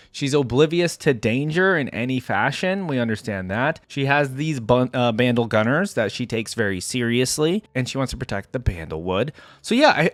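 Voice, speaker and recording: Man, reaction host, conversational, rambling, agreement-seeking, off-the-cuff commentary, medium pitch, dry room-free sound. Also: dry recording. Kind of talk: casual off-the-cuff video game reaction commentary.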